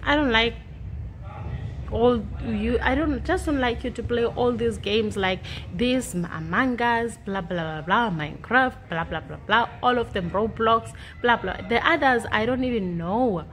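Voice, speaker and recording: A woman's voice: fairly high pitch, measured pace, a bit bright, slight background noise. A woman in her thirties, a mother, chatting casually to viewers in a home vlog, teasing and laughing, filmed on a phone held at arm's length indoors. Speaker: family vlogger, mother. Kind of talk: casual vlog chat to camera.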